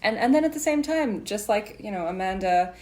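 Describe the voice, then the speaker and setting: A woman's voice: mid-range voice, lively intonation, a little fast, a little mellow. A young woman hosting a casual interview, encouraging and appreciative as she talks. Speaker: podcast host.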